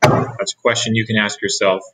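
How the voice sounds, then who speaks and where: A middle-aged man giving an expressive read-aloud of a children's storybook. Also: lowish voice, moderate pace, breathy edge, poor audio. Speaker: storybook reader.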